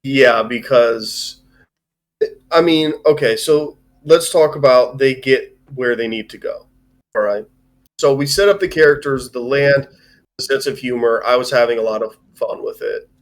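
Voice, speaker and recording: A man in a casual film discussion, speaking at a middling pitch. He rambles as he goes, stopping and restarting his thoughts, and swears freely. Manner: rambling, halting, often swearing. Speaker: movie review show host.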